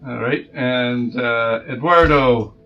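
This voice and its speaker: A man's voice: mid-range voice, slow pace, muffled recording, over music. A man running a tabletop game, casually asking his players about their characters. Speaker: game master. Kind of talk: casual session-zero chat.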